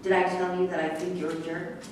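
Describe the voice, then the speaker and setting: A woman's voice: fairly low pitch, level tone, brisk, close to an everyday voice. A woman playing a sarcastic, distrustful horse trainer in a staged play reading, confrontational and defiant. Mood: accusing, scornful. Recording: echoey room, distant mic, faint noise.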